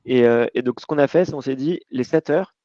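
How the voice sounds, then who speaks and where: A man's voice: medium pitch, a little fast, mellow, no room sound. Male founder, informal storyteller with stammering restarts, dull lo-fi dry call sound. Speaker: company founder and guest speaker. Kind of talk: casual webinar talk.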